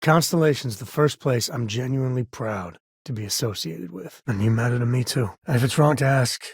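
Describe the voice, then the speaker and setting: A male actor playing a wry, rough-edged space cowboy in a video game, with a husky, raspy voice and a dry, folksy manner. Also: medium pitch, brisk, no echo. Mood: sincere. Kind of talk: game character dialogue lines.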